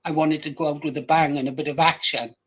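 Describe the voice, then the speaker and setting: Older Welsh-accented male host with a slightly high voice, blunt and rambling, off-the-cuff talk to camera. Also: steady tone, fast talker, a bit bright, slightly rough. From England, raised in Wales from age ten. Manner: blunt, crude, rambling with restarts. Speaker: channel host. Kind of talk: casual rambling monologue.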